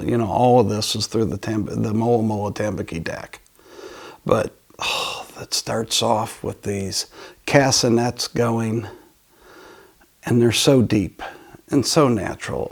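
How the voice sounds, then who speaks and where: A man hosting a casual audio talk, enthusiastic but halting, often trailing off and picking the thought up again. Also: on the low side, creaky, husky. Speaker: audio dealer and show host. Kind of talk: casual product and music talk.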